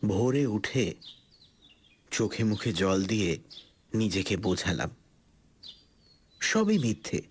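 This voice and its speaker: A man narrating a suspense story dramatically, in a scripted first-person style with deliberate pauses. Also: fairly low pitch, animated, husky. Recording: loud music under the voice. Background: loud background music.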